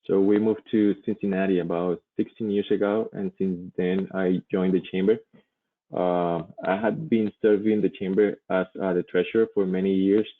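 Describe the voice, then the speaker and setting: A man from Colombia, a native Spanish speaker, presenting slides at a virtual event in a halting way, pausing often. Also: low-pitched. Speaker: chamber vice president, presenter. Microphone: online video call. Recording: muffled phone-like call audio.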